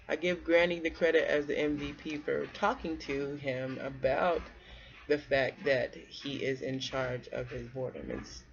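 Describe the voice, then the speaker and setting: Woman, low-pitched, children's read-aloud, conversational, question-and-pause delivery, lo-fi muffled recording. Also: gravelly. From North Carolina, United States. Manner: conversational, asks the listener questions and pauses for answers. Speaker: storyteller reading aloud to children.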